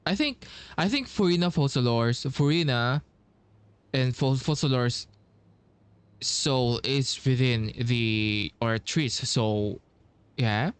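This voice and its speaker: Slow, thoughtful man commentating a game. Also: mid-range voice, lively intonation, clear, resonant tone, no echo. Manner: hesitant, thinking aloud. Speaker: game streamer. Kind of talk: casual playthrough commentary.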